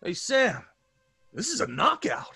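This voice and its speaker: Excitable, slightly husky radio fellow. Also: male voice, high voice, measured pace, no room sound, soft background music, heavily acted. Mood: amazed. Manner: breezy, slangy, exclaiming. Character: excitable male pal growing alarmed, breezy 1930s fellow. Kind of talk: old time radio acting.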